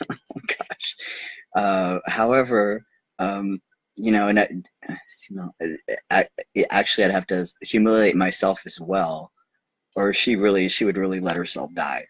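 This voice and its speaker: Very low-pitched man, unhurried storyteller. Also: steady tone, fairly bright tone, dry recording, poor audio. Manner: halting, self-interrupting, amused asides. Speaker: bedtime story reader and storyteller. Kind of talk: reading and loosely translating a French storybook aloud. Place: recording himself on video.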